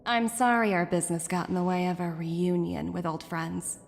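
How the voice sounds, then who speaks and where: A woman voicing a poised, businesslike young corporate envoy in a video game, speaking in a formal, measured, polite way. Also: on the low side, steady tone, gravelly, in a large hall. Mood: composed, firm. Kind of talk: scripted video game dialogue.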